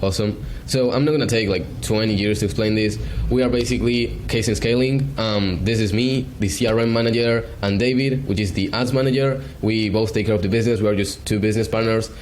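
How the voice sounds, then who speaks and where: A man from Argentina pitching agency services on a video call, hesitant and full of fillers. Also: fairly even intonation, brisk, hardly any pauses, darkish tone, a little hiss or hum. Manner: hesitant, filler-heavy, casual. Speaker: beginner agency salesman.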